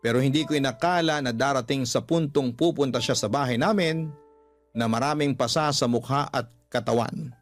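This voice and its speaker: Mid-pitched man narrating a confessional story. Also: moderate pace, faint music behind. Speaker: radio-style storyteller reading listener letters.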